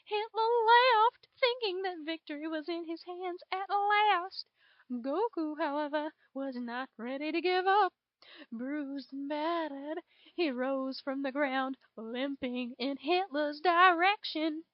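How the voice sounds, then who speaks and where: Southern belle dragon played by a woman, extremely high voice, theatrical storybook narrator, dull lo-fi sound. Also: dry recording. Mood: dramatic storytelling. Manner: theatrical, drawn-out emphasis. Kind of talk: dramatic fan fiction reading. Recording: dull lo-fi home recording.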